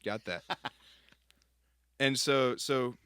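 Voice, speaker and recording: A man hosting a podcast, chatting informally and somewhat haltingly with his guest. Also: mid-range voice, a bit bright, clean tone, no echo. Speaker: podcast host. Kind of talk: casual interview chat.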